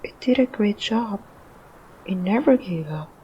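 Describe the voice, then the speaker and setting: A woman's voice: mid-range voice, expressive, measured pace, highs cut off, slight background noise, natural voice. A woman voicing a shy, self-doubting ghost in an audio drama, hesitant and trailing off. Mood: wistful, rambling.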